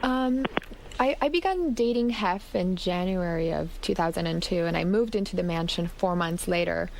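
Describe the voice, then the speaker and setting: A woman's voice: lowish voice, normal speed, gravelly, darkish tone, slight background noise, poor audio. A woman from Canada speaking conversationally as the guest in a radio interview. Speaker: interview guest, memoir author.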